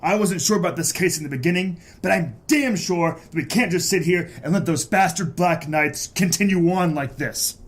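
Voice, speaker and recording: An actor playing a gruff, cool-headed private eye with a terse, commanding manner in a noir drama. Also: male voice, high-pitched, moderate pace, hardly any pauses, close to an everyday voice. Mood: resolute, commanding. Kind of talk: hardboiled noir audio drama acting.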